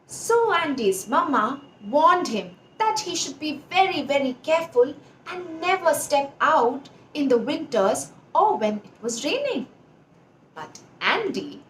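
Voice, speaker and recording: A woman hosts a story for children in a very high voice. She speaks directly to young listeners and repeats words for emphasis. The room is echoey, with faint noise in the recording. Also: a bit bright. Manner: addresses children directly, emphatic word repetition. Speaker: children's storyteller, channel host.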